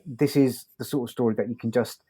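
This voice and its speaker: A man's voice: medium pitch, steady tone, brisk, mellow, slight background noise. A man co-hosting a podcast, talking casually and appreciatively in loose, hesitant phrases. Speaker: podcast co-host. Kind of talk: casual review chat.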